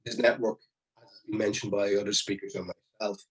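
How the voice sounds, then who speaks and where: Male presenter, low husky voice, earnest and halting, video-call audio. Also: little pitch movement, low-quality recording. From Ireland. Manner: earnest, halting with restarts and fillers. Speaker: conference presenter. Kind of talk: online conference presentation. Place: home or office on a video call. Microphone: video call mic.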